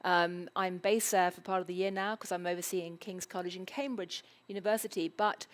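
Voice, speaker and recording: A woman's voice: lowish voice, steady tone, a little fast. A British woman interviewing a guest on stage in an auditorium, with a wry, teasing manner. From Britain. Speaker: moderator. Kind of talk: onstage interview. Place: auditorium before a live audience.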